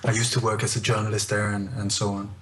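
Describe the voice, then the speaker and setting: A middle-aged man with a Scandinavian accent, recounting his research as a radio interview guest in a measured, halting way with repeated phrases. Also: on the low side, completely flat delivery, fairly bright tone. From born in Denmark, emigrated to Sweden at eight.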